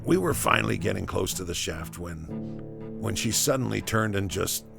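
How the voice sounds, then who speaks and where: An actor playing a rattled soldier telling a halting, conversational first-person story in an audio drama, with a gravelly voice. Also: male voice, lowish voice, lively intonation, music in the background. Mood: wry, uneasy. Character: rattled male battalion soldier recounting a disturbing morning.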